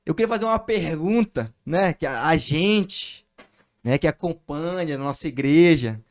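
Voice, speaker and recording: A male podcast host with a notably high voice, who chats casually and laughs easily. He steers the talk with friendly questions for his guests. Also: lively intonation, a little slow. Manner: laughs often, chatty. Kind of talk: casual chat, interviewing.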